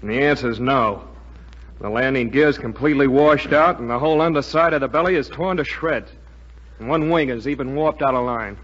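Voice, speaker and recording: A man's voice: medium pitch, brisk, fairly bright tone, natural voice. An actor in a 1940s radio drama playing a blunt aircrewman giving a report. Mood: grimly emphatic. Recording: muffled 1940s radio recording.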